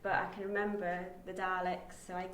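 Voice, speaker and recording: A woman's voice: fairly low pitch, flat delivery, fairly quick, a bit bright. A woman talking casually and unscripted, in a hesitant, self-correcting way. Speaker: auditioning actor. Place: indoor university room. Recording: distant, very echoey room, faint noise.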